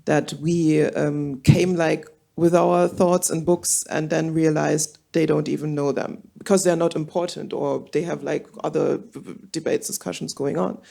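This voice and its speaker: A woman's voice: low-pitched, steady tone, a little slow, gravelly, few pauses, warm tone. A woman from Germany speaking on a symposium panel, hesitant and searching for words, with many fillers. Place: auditorium.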